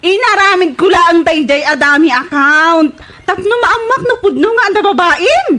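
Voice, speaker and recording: Woman acting a fed-up, high-pitched Ilocano girlfriend. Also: expressive, normal speed, bright, forceful tone, heavily acted. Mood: angry, scornful. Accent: Filipino, Ilocano. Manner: scolding, emphatic. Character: fed-up, scornful woman confronting her womanizing boyfriend. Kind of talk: radio comedy drama acting.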